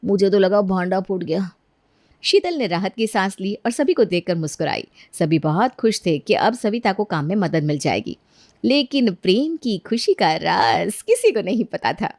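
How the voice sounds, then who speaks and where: A woman's voice: medium pitch, big pitch swings, moderate pace, vocal fry, darkish tone, no room sound, clean studio sound. A woman narrating a scripted cartoon bedtime story as the storyteller.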